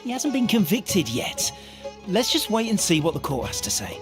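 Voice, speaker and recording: A woman playing a reasonable, cautious townswoman in a video game, with a calming manner. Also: lowish voice, moderate pace, over music.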